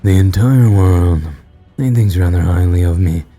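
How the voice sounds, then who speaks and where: A man voicing a menacing shadow demon in a storybook, coldly mocking, speaking slowly with deliberate, bored emphasis. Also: sits low, dark tone, soft background music. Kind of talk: audio storybook character voice.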